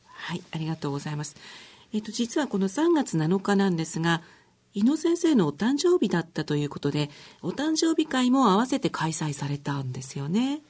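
A woman's voice: medium pitch, sing-song, a little fast, a little mellow, breathy edge. A woman hosting a radio programme, politely interviewing and presenting in a conversational way. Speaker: radio show host.

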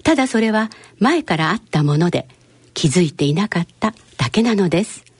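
A woman narrating a scripted radio drama in a measured, explanatory manner. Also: fairly low pitch, animated, slightly rough, no echo. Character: female narrator explaining a clinic scene.

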